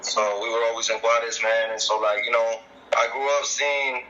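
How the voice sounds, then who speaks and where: Laid-back bright-voiced young-sounding man. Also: mid-range voice, steady tone, moderate pace, dull audio. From El Paso, Texas. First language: Spanish. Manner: laid-back, heavy use of slang and fillers. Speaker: guest artist. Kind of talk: casual podcast interview. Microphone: video call.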